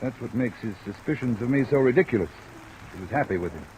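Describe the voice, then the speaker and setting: A man's voice: medium pitch, animated, quick pace, natural voice. An actor playing a formal, defensive American doctor guarding his reputation in a 1950s radio drama. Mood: guarded. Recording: noisy old radio recording under rain.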